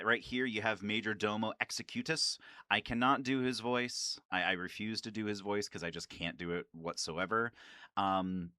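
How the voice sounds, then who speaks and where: A man's voice: fairly low pitch, bright, forceful tone, no echo, studio quality. A man giving casual, nerdy, enthusiastic fan commentary about games, swearing freely as he goes. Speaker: video creator and gamer.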